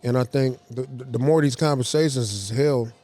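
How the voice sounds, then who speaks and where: Mellow-voiced forty-year-old man. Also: medium pitch, normal speed. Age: 40s. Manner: earnest, reflective, slangy. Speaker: podcast guest. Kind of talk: casual podcast conversation. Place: cigar lounge.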